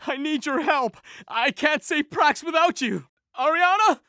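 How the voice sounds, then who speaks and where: A young male cartoon villain who whines and pleads theatrically. The voice is high and bright, very animated, and recorded dry and close. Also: unhurried, no echo, full character voice. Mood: desperate begging. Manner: melodramatic, whiny pleading. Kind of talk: animated series acting.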